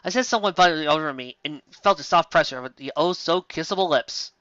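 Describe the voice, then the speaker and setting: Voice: mid-range voice, brisk, a bit bright, no room sound, lightly acted. A reader playing a melodramatic, self-important young heroine narrating a story with solemn, overwrought delivery, slightly lo-fi. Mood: weak, dreamy.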